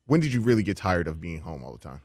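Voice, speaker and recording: A man on a radio crew ribs the host with questions. His voice is fairly low but swings widely, and the sound is dry. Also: big pitch swings, fast talker, darkish tone, breathy edge, no echo. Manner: teasing, questioning. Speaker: show crew member. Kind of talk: casual studio banter.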